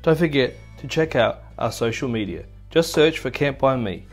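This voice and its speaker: A man's voice: medium pitch, animated, normal speed, faint music behind. A middle-aged man from Australia hosting a casual camping vlog by a river, chatty and upbeat, laughing off his own slip-ups. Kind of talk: casual travel vlog with bloopers. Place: outdoors by a river in a bush reserve. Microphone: camera from a few metres away.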